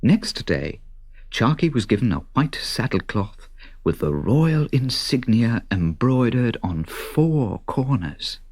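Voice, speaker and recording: A man narrating a children's story in an expressive, unhurried, dramatic way with a husky voice. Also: medium pitch, no room sound, clean studio sound. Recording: children's story cassette with music. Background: music.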